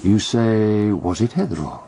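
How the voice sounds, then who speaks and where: Theatrical, low-voiced British detective storyteller. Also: male voice, animated, vocal fry, mellow. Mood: teasing, deliberate. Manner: theatrical, measured storytelling with pointed pauses. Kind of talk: BBC radio detective drama acting.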